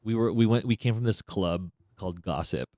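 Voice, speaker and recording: A man with a fairly low voice co-hosting a relaxed podcast. He makes dry, teasing quips and laughs often. The recording is dry and close. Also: normal speed, no echo. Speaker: podcast co-host. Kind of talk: casual chat.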